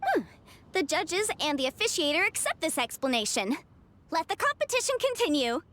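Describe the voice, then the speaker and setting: A woman's voice: very high-pitched, very animated, normal speed, fairly bright tone, heavily acted. A woman voicing a spirited young female contest host in a video game, announcing over music. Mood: official, announcing. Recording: music behind the voice.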